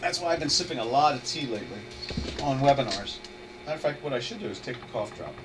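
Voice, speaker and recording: A man's voice: mid-range voice, fast. A man fighting a head cold who hosts a live trading webinar, thinking aloud in a rambling way and restarting his sentences. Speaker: trader hosting a live webinar. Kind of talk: casual live market commentary, thinking aloud. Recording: distant mic with steady electrical hum.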